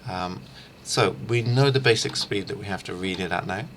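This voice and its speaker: A middle-aged man giving an informal instructional screencast as a language teacher, haltingly thinking aloud. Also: sits low, a bit bright, a little hiss or hum. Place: small room lined with shelves, indoors.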